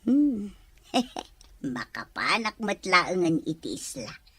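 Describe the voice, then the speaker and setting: Woman acting low, husky, agreeable Filipino employee. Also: very animated, slow pace, pushed and bright, full character voice. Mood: curious, pleased. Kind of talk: Ilocano audio drama acting.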